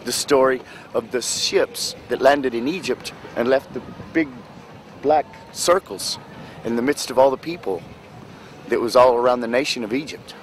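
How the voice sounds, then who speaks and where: Man, rambling, biblical phrasing, halting restarts, faint background noise. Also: medium pitch. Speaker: homeless man interviewed on the street. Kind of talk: rambling storytelling.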